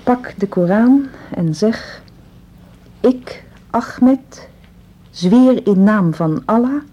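A young woman in danger in a radio play, with a mellow, slightly husky voice. She is earnest and insistent, pressing each point deliberately. The recording is dull and lo-fi. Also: mid-range voice, lively intonation. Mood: urgent, insistent. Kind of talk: radio drama acting.

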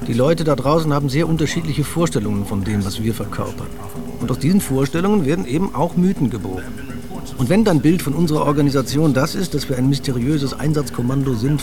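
A man acting a calm, cryptic spokesman with a medium-pitched voice. He explains his group with composure in a radio drama, over clearly heard music. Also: lightly acted. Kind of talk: radio drama, interview statement. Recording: music under the voice.

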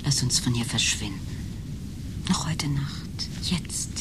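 An actress playing an anxious, urgent woman in a German radio play, pressing and hushed, at times whispering. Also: a bass voice, slow.

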